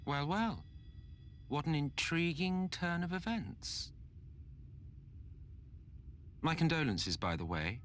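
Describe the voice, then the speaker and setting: A man's voice: a little high, expressive, a little slow, a bit bright, a little hiss or hum. An actor playing a sardonic, world-weary villainous nobleman in a video game, with a condescending, theatrical drawl. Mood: mocking, callous. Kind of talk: video game cutscene acting. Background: background music.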